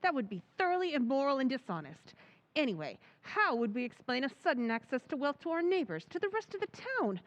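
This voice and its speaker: An actress playing a prim, moralizing small-town wife with an indignant manner, in an old-time radio play style. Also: female voice, high-pitched, sing-song, a little fast. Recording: dull lo-fi radio-play recording.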